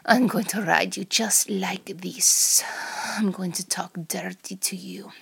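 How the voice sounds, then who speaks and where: A woman acts out a domineering Russian female spy in an adult audio roleplay. The voice is husky and breathy, with a crackle of fry, often dropping to a whisper. The Russian-accented delivery is slow, teasing and full of threat. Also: mid-range voice, steady tone, fairly bright tone, exaggerated voice. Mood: menacing, seductive. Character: seductive, menacing Russian female spy interrogating a captive.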